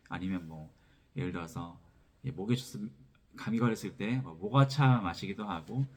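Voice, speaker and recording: A pastor delivers a sermon in a fairly low male voice. He reads scripture and then explains it step by step, often repeating a phrase before moving on. His throat is a little sore from a cold. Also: a little mellow. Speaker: pastor preaching. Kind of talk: expository sermon with scripture reading.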